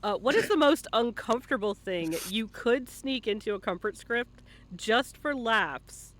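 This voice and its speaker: A man with a high, bright voice hosts a live-stream interview. His delivery is informal and easygoing, like a casual chat with a guest. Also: animated, measured pace. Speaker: interview host.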